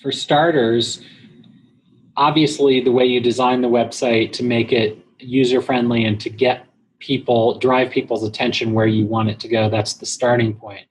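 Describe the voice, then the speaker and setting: A man with a mid-pitched voice answers questions as a guest on a business interview. He explains things in a measured way, pausing and feeling for the next phrase. Noise is clearly audible behind him. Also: flat delivery, poor audio. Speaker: guest, internet marketing company president.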